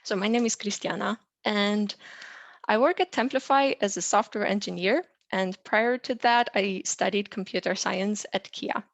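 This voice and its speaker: A woman's voice: medium pitch, moderate pace, no echo. Woman explaining coding, dry sound. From Romania. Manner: clear explanatory delivery with frequent "uh" and "um". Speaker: software engineer teaching a lesson. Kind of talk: presenting a tutorial.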